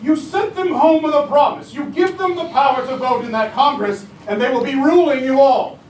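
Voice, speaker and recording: Man playing a high-voiced, combative politician. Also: moderate pace. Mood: indignant, warning. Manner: agitated, argumentative. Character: angry, combative cabinet secretary. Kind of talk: musical theatre staged reading. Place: restaurant staged reading. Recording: distant echoey live recording, crowd, room rumble.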